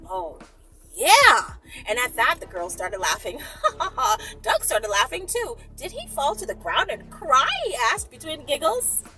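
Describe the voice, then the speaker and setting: A woman reading a story aloud in an expressive, informal, giggly manner with chatty asides. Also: sits high, a little slow, faint music behind, poor audio.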